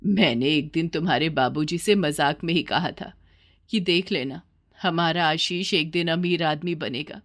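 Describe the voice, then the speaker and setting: A woman's voice: sits low, unhurried. Woman voicing an emotive village mother. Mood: proud. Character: worried, loving village mother of grown son. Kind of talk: cartoon fairy-tale voice acting.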